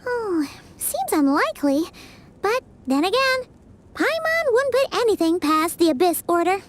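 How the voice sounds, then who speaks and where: A woman voicing a tiny, childlike female fairy companion in a video game, squeaky, chatty and inquisitive. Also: big pitch swings, a little slow, exaggerated voice. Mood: matter-of-fact. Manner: exclamatory, refers to herself by name. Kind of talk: animated video game voice acting.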